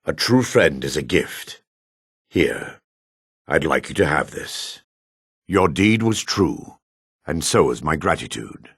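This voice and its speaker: Low, husky dark elf. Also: male voice, sing-song, slow, pauses often, dry recording, clean studio sound, exaggerated voice. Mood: solemn. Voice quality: raspy, gravelly. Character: gruff, guarded male dark elf townsman of fantasy world. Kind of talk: fantasy video game character lines.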